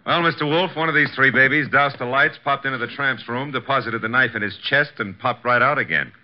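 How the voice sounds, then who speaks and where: An actor playing a breezy, tough detective's assistant with a wry, slangy, flippant manner in 1950s radio drama style. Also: male voice, medium pitch, fairly quick, natural voice. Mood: sardonic. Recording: dull, faintly noisy old radio recording.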